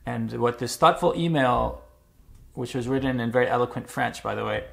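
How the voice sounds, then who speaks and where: Gravelly-voiced man musing thoughtfully. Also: medium pitch, fairly bright tone. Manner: measured, thoughtful talk with filler uhs and restarts. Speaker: vlogger. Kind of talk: reflective personal vlog. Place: Montreal.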